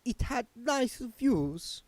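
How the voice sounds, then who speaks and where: A man's voice: very high voice, sing-song, drawn-out words, a little mellow, no echo, voice pushed up, heavily acted. A man voicing a haughty older woman, an affected, stiff vampire countess in a book read aloud. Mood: stiff, defensive.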